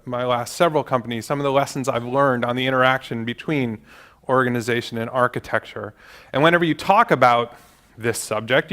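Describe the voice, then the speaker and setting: A man who is a vice president of engineering gives a conference talk in a calm, measured way, with frequent ums and uhs. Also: medium pitch, clear, resonant tone. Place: conference stage before an audience.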